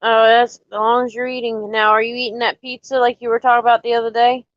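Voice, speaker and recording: A woman chatting casually to viewers on a live stream, a little nasal and stuffy from allergies, rambling in a relaxed way. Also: a little high, fast talker, no room sound, dull audio. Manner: rambling, relaxed, talks straight to chat.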